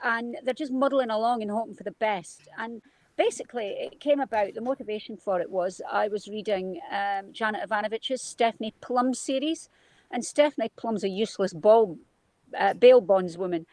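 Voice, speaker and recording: A Scottish woman crime writer on a panel over a video call, lively and self-deprecating, a little tired early in the morning. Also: mid-range voice, normal speed, no room sound, poor audio. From Scotland. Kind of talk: panel discussion.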